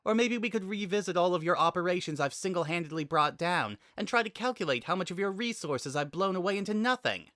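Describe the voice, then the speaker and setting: A man's voice: high voice, lively intonation, fast talker, few pauses, sharp tone, no room sound, studio quality, voice pushed up. A man voicing a defiant woman bounty hunter in a sci-fi dramatic reading, biting, sneering and sarcastic. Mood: sneering sarcasm.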